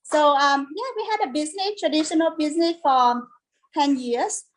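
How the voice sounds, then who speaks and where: High-voiced Vietnamese-accented woman, chatting informally. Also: normal speed, low-quality recording. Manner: chatty, informal, non-native grammar. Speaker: guest, home business owner. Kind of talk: casual interview chat.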